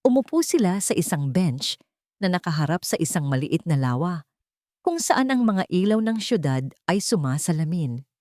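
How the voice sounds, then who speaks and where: Low-pitched woman narrating a story. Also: big pitch swings, normal speed, warm tone, no echo, studio quality. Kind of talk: scripted narration of a romance story.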